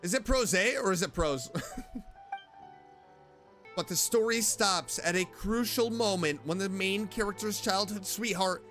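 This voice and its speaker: A man streaming a game, reading story text aloud in an expressive way and reacting to it. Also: high-pitched, a little slow, soft background music. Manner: expressive, animated.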